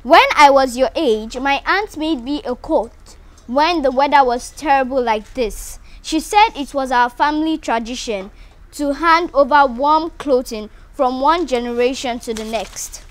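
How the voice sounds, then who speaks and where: A woman reading the part of a kindly, insistent storybook grandmother, gentle and advising. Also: sits high, a little slow. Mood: fond, reminiscing. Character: kindly, insistent grandmother who cherishes family tradition.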